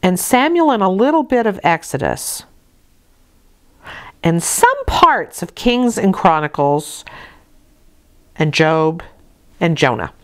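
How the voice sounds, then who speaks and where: A woman's voice: lowish voice, sing-song, slow, long pauses. Woman reading as a candid, earnest little girl. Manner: short, candid answers. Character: plain-spoken, defiant, earnest little girl. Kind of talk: dramatized audiobook reading.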